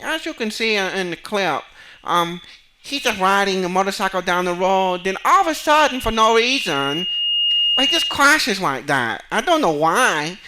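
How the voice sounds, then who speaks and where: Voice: mid-range voice, moderate pace, slight background noise, poor audio. A performer playing an exasperated male motorcycle instructor who speaks broken English, in a comedy sketch interview. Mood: earnest. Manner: broken English with dropped articles, excitable.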